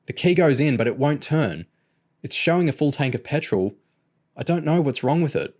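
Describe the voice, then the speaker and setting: A man's voice: on the high side, expressive, normal speed, voice pushed up. A man voicing a frustrated, exasperated teenage boy in an audiobook. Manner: exasperated, shouting. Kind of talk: audiobook character voice.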